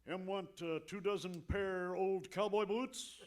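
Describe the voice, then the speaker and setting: An actor playing a stoic, literal-minded sidekick who speaks deadpan broken English in a radio comedy. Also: male voice, sits high, a little slow. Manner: deadpan, clipped broken grammar. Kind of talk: old time radio comedy acting.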